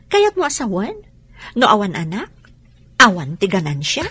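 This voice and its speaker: An actress playing a gentle, wry young wife in a radio drama. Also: female voice, medium pitch, huge pitch swings, slow pace, slightly rough, no echo, exaggerated voice. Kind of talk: Ilocano radio drama acting.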